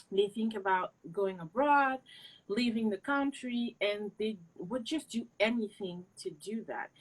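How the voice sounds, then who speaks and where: A woman's voice: medium pitch, husky, poor audio. A young woman from Cameroon whose first language is not English, giving earnest and direct advice as an interview guest. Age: young adult. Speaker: interview guest, PhD student.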